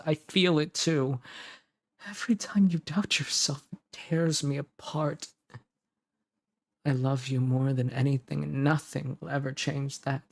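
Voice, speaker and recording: A man performs a devoted, possessive boyfriend in a romance audio drama. His mid-pitched voice is gravelly with vocal fry, and he pleads tenderly and earnestly, pausing for dramatic weight. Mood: pleading, devoted. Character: intensely devoted male lover, tender, protective, possessive. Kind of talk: romantic roleplay audio acting.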